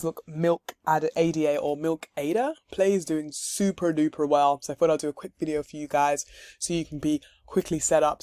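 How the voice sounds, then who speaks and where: A man talks through a crypto wallet setup in a relaxed, chatty way, his voice hoarse and husky because he is losing it. He sits a little high for a male voice and laughs lightly between steps. The sound is close and dry, with no room around it. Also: no echo. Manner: chatty and upbeat, with light laughs and frequent um's. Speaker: crypto tutorial creator. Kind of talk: casual screen-share walkthrough.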